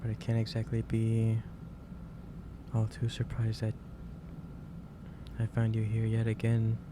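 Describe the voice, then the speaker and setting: A man playing a gentle, concerned office boss in a soft-spoken ASMR roleplay, talking in a hesitant, halting and careful way. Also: on the low side, completely flat delivery, lightly acted. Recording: clearly noisy ambient background.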